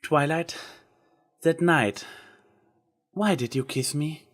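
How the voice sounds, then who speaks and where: A man's voice: a little high, slow, a bit bright, pitched above the performer's own voice. A man voicing a proud, theatrical yet tender female unicorn magician in a story reading. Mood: earnest, tentative.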